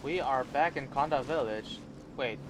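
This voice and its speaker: A man's voice: medium pitch, moderate pace, noisy background. A man giving casual gameplay reactions as a video host, slangy and full of incredulous interjections. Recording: game music loud behind voice.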